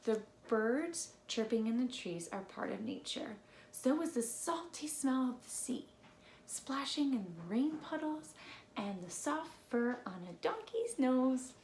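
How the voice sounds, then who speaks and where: A woman reading a children's storybook aloud expressively, with deliberate phrasing and playful emphasis. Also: high voice, expressive, a little mellow. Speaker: read-aloud presenter. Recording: echoey room with faint noise.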